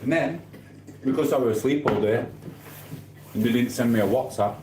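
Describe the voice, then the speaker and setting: A man's voice: mid-range voice, moderate pace, roomy sound, background noise. A middle-aged man from Sheffield with a Yorkshire accent, chatting and mock trash-talking through a late-night live stream from his kitchen. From Sheffield, England. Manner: banter-heavy, mock trash-talking, rambling. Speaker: livestreamer.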